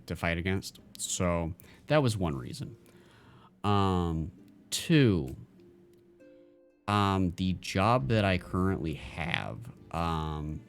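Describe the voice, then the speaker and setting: A male streamer in casual live-stream chat, answering viewers in a halting, think-aloud way. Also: low-pitched.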